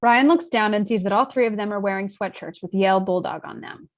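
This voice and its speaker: A woman serves as narrator for a script read-through. She reads stage directions in a mid-pitched voice, as scripted narration. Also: fairly quick.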